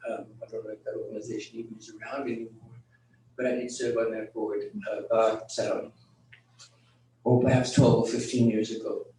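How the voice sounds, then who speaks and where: A middle-aged man and business owner giving a short candidate speech at a community centre meeting, in an even, matter-of-fact way. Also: fairly low pitch, brisk, slight background noise. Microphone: handheld mic at a distance. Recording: very echoey room, far from recorder.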